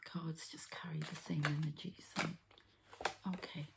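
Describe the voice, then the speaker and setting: A woman softly whispering an ASMR healing ritual, breathy and halting, as if thinking aloud. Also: sits low, flat delivery, heavy background noise. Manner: unhurried, halting, thinking aloud while handling objects. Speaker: host, energy healer and card reader.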